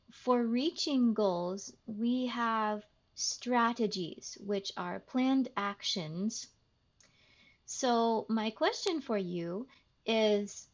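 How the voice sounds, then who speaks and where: Woman podcast host, fairly high voice, very slow careful delivery, teacher-like clarity. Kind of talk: slow, clear podcast interviewing.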